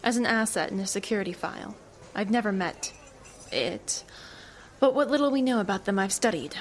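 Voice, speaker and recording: An actress playing a cool, evasive government agent in an audio drama, with a dry, teasing, knowing manner. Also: female voice, medium pitch, lively intonation, brisk, slight background noise, close to an everyday voice. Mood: wry, controlled. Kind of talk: naturalistic audio drama acting.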